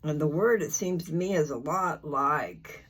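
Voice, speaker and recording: An older speaker chatting casually and informally in a folksy, meandering way, often halting to search for words. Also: mid-range voice, expressive, a bit bright, breathy edge. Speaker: host of a scripture reading channel. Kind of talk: rambling casual chat before a reading.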